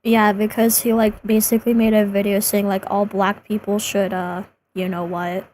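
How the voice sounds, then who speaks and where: A woman's voice: mid-range voice, level tone, normal speed, a little mellow, slight background noise. A young woman from Japan whose first language is Japanese, chatting bluntly and swearing freely in a casual conversation. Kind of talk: casual chat.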